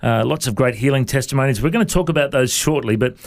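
A man's voice: mid-range voice, fast talker. A man hosting an interview, asking questions and giving encouraging interjections. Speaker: interview host.

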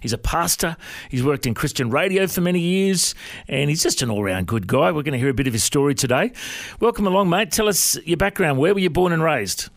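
A man with an Australian accent hosts a relaxed, conversational interview. His voice sits a little high for a man, and it is recorded very dry, with no room sound. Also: brisk, gravelly, no room sound. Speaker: interview show host. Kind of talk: casual interview.

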